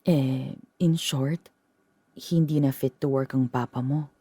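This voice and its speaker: A woman's voice: very deep voice, fairly even intonation, mellow, slightly rough, dry recording, exaggerated voice. An actress playing a worried, hesitant middle-aged mother explaining family troubles to her son. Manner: hesitant, halting. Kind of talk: radio drama acting.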